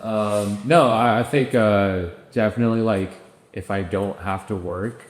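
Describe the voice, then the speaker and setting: A young man in his twenties co-hosting a podcast, easygoing and quick to laugh, with a habit of repeating "yeah.". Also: lowish voice. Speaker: podcast co-host. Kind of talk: casual chat. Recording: echoey room with long reverb.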